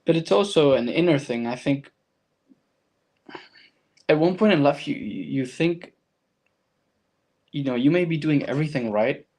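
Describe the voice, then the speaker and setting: A man's voice: on the high side, lively intonation, unhurried, long pauses, a little mellow. A man from Argentina with a Latin American accent and Spanish as his first language, speaking as a guest and telling his personal story reflectively in conversation.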